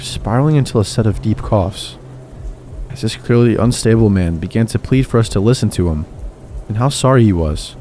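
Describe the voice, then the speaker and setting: A man narrating true horror stories in a steady, low-key scripted reading. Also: a little hiss or hum. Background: faint music.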